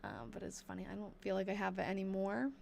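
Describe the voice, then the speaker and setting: A young woman with a New England accent, chatting casually on a live stream as she reads an old diary aloud slowly and thinks out loud. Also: mid-range voice, little pitch movement, vocal fry, slight background noise. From New Hampshire. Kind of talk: casual livestream chat and slow diary reading.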